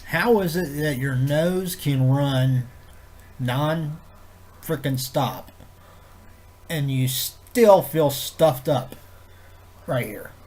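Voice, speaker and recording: A man with a head cold, sounding congested and nasal, chatting to camera in a low-key, meandering way with loose swearing. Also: darkish tone, slight background noise. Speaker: vlogger. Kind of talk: rambling casual chat to camera.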